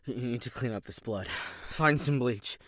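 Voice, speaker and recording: A man's voice: mid-range voice, moderate pace, a bit bright, no room sound, clean studio sound, close to an everyday voice. An actor playing a wounded, strained man who leads an anxious group of amateur thieves in an audio drama. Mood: urgent.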